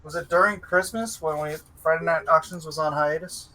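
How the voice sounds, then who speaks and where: A man's voice: on the high side, fairly even intonation, brisk, pushed and bright, poor audio. A man chatting casually while he draws and muttering to himself about his work. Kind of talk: casual chat while drawing.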